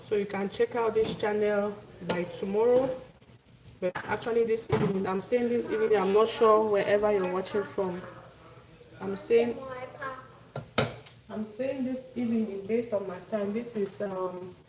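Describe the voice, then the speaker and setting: A woman's voice: mid-range voice, fairly even intonation, normal speed, distant mic, crowd noise, rough recording. A woman with a Cameroonian accent chatting casually as host of a cooking live stream, often repeating her phrases and addressing viewers directly. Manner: repeats phrases, thanks and greets viewers by name.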